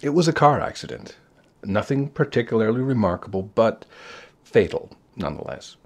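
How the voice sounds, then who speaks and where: A man narrating a short story in a measured, expressive storytelling style. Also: fairly low pitch.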